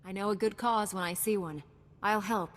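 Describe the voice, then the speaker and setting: A woman playing a calm, resolute young knight in a video game, composed, courteous and determined. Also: medium pitch, normal speed, natural voice. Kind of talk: cinematic video game hero acting. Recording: long hall reverb, music behind.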